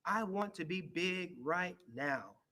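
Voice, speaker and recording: A man's voice: high-pitched, animated, slow pace, sharp tone, heavily acted. A man voicing a small, young male hippo in a children's storybook, eager, earnest and childlike in his exclaiming. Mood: earnest, wishful.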